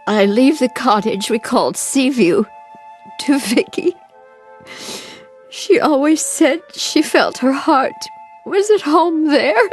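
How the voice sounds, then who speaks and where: An actress playing a grieving, stunned daughter in an audio drama, emotional and halting. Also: female voice, high-pitched, expressive. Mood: mournful. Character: grieving grown daughter stunned by her mother's secret. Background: sentimental theme music.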